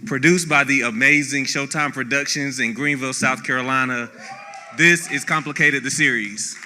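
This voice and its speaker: Very bright-voiced male award presenter. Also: mid-range voice, steady tone, normal speed, some chatter behind, slight background noise. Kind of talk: scripted nominee introduction. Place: theatre stage at awards ceremony. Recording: long hall reverb.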